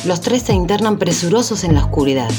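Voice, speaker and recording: A woman performing as a measured storyteller, narrating a radio drama from a script. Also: fairly low pitch, expressive, natural voice. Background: music.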